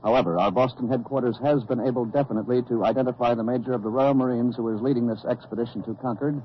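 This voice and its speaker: Man as formal 1940s radio anchor, composed, mid-pitched, quick delivery, muffled vintage sound. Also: fairly even intonation, close to an everyday voice. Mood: composed, businesslike. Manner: measured, formal announcing. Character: composed male New York radio news anchor. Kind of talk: 1940s radio acting, formal news bulletin. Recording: dull old radio recording, faint noise.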